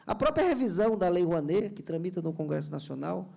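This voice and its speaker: A man's voice: sits high, sing-song, a little fast, vocal fry, dark tone. A man from Ceará in Brazil, a government culture official giving an earnest, explanatory seminar panel speech with many restarts. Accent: Brazilian Portuguese, Ceará.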